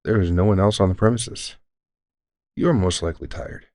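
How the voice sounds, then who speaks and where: A man playing a deranged centuries-old elf shopkeeper who is courteous, formal and unsettlingly calm, in an audio roleplay. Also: low-pitched, brisk, no room sound, studio quality, natural voice. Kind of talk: scripted audio roleplay addressing the listener.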